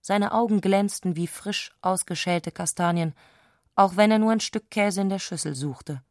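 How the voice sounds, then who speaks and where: A woman reading literary scripted narration as a radio drama narrator, measured and composed. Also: mid-range voice, no room sound, studio quality.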